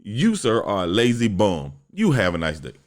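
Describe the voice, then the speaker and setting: A young man hosting a solo podcast, talking bluntly and profanely in a deep voice, telling a story with lots of animation. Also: huge pitch swings, normal speed. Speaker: podcast host.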